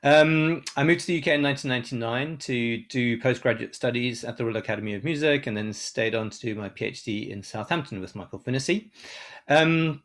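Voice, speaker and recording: A man's voice: medium pitch, fairly quick, fairly bright tone. A man from South Africa, a composer giving a conference talk in a hesitant way, with many ums and restarts. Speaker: composer and lecturer. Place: online symposium.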